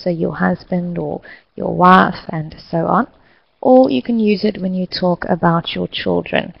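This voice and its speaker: Female online English tutor, heavy vocal fry, slow clear encouraging delivery. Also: on the low side, no echo, dull audio. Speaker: English teacher hosting an online class. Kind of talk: scripted online lesson facilitation.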